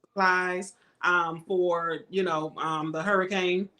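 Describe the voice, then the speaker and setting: Bright-voiced woman chatting casually. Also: on the low side, fairly even intonation, slow pace. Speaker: vlog host. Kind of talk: casual chat.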